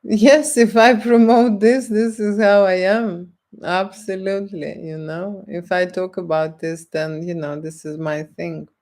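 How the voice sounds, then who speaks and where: A woman's voice: medium pitch, steady tone, darkish tone. A woman with a Lithuanian accent, a photographer answering street interview questions and often checking in with "okay?". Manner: deliberate, keeps checking in with "okay?". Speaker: erotic photographer.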